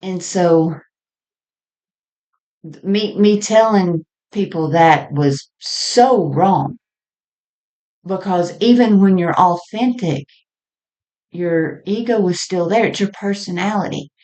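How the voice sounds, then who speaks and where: A woman with a low-pitched voice talks through her spiritual ideas alone, as a podcast host. Her delivery is very slow and reflective. She is halting, often letting a thought trail off before picking up the next. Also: mellow. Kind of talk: unscripted spiritual monologue.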